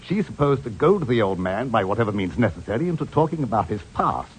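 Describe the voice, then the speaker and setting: An actor playing a pompous, flustered scheming captain in a 1940s radio drama, stammering and effusive with his gentlemanly phrases. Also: lowish voice, sing-song, fast talker, low-quality recording. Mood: scheming, courteous. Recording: old radio recording, clear background noise.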